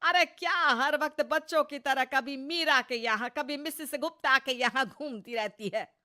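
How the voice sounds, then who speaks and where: A woman's voice: high-pitched, a little slow, pushed and bright, pitched above the performer's own voice. A woman voicing a scolding, sarcastic mother-in-law in her sixties for an acted moral story. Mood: nagging. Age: 60s. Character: scolding, nagging sixty-year-old Indian mother-in-law.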